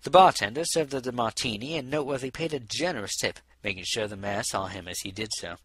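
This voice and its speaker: A man's voice: medium pitch, fast, a bit bright, slightly rough, no echo. A man narrating a story as an audiobook reader, in scripted prose narration.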